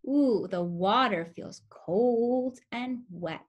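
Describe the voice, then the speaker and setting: A young woman reading a picture book aloud to toddlers as a preschool teacher, with simple, expressive phrasing and questions for the listeners. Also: on the high side, extremely slow. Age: young adult. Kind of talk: children's story read-aloud.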